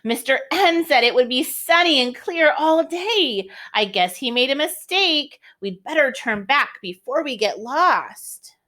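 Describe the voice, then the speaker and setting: A high-pitched, very animated lady from a children's storybook, acted out by a woman reading aloud. She is cheerful and polite, speaking in exclamations with a sing-song lilt. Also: unhurried, few pauses, full character voice. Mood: exasperated surprise. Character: Miss W, cheerful polite lady letter, weather forecaster.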